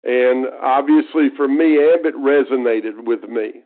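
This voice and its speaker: A man's voice: mid-range voice, steady tone, measured pace. A man in his fifties with an East Texas accent, a guest speaker talking earnestly and folksily on a conference call, often restarting his phrases. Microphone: telephone. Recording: tinny phone line.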